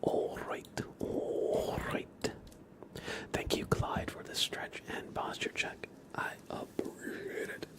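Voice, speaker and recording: A man doing ASMR on a live stream in a breathy, hushed whisper, speaking gently and softly. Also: slow pace. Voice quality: breathy whisper with soft-spoken stretches. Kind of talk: ASMR, whispered relaxation and guided imagery.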